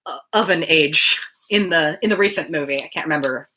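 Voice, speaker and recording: A woman reading a novel aloud on a livestream in an expressive storytelling way, with casual asides. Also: low voice, a little fast, poor audio. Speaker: reader hosting a daily livestream.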